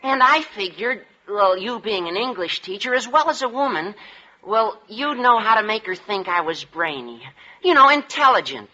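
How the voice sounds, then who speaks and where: An actor playing a naive, lovestruck American teenage schoolboy, earnest and gushing, in a 1940s radio comedy. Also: male voice, high voice, animated, moderate pace, sharp tone, full character voice. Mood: eager pleading. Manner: earnest, gushing, self-important. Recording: muffled vintage 1940s radio recording.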